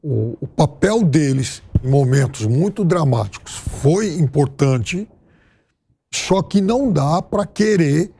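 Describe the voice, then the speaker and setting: A man's voice: fairly high pitch, lively intonation, takes it slowly, mellow, slightly rough. A middle-aged man commenting on a TV news panel in a studio, thoughtful and hesitant with restarts. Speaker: political commentator.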